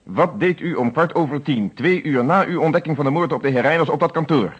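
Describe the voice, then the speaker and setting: An actor playing a firm, probing police inspector who questions with formal politeness in a radio play. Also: male voice, a little high, fairly quick, breathy edge. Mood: stern, pressing. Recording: dull old radio recording.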